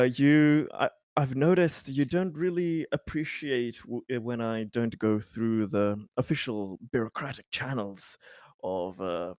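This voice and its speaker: A man's voice: medium pitch, smooth, no echo, lightly acted. Man as awkward company superior in tabletop roleplay: mid-range voice, friendly, hedging, wordy, stammering, dry sound. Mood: awkwardly conciliatory. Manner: rambling, hesitant, word salad. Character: awkward, over-polite male bureaucratic superior, friendly-like.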